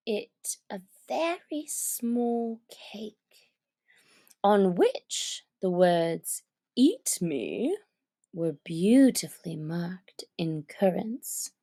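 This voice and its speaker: A woman narrating a children's story from a script, with expressive, deliberate and dramatic phrasing. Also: medium pitch, no room sound.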